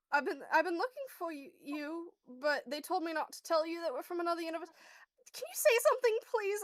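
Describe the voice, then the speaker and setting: A woman's high voice, recorded close and dry. She roleplays casually as a player in a tabletop game, stumbling and restarting as she goes. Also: a bit bright, no echo. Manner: halting, stammering.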